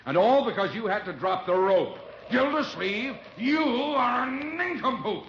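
An actor playing a pompous, sarcastic, excitable gentleman in old-time radio comedy style. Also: high voice, fairly quick. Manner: pompous, sardonic, melodramatic. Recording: dull old radio recording, some noise.

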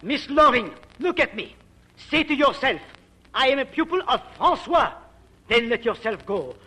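A grandly pompous foreign voice coach in an old radio play, talking in lofty broken English. His voice is strikingly high and bright. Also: sing-song, normal speed, husky, heavily acted, rough recording. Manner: pompous, broken English, speaks of himself by name. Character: pompous foreign male voice teacher. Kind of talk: 1940s radio acting.

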